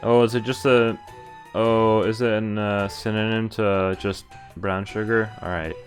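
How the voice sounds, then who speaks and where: A woman's voice: very deep voice, little pitch movement, unhurried. A woman streamer reading visual novel text aloud expressively, dropping in casual, sweary asides of her own. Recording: game music behind the voice. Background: visual novel background music.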